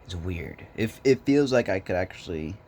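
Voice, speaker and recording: Young male vlogger, smoke-roughened voice, lowish, loose rambling jokes, phone mic with faint hiss. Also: lively intonation, moderate pace, a little mellow. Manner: meandering, joking, laid-back. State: hoarse from smoking. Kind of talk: rambling casual vlog chat.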